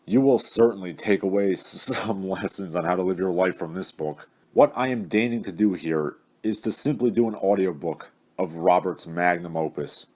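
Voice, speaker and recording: A man's voice: sits low, big pitch swings, normal speed, few pauses, a little mellow, no room sound. A man narrating an audiobook in a pompous, theatrical manner with grandiose diction. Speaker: audiobook narrator, video host. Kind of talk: scripted audiobook reading with introduction. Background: classical orchestral music.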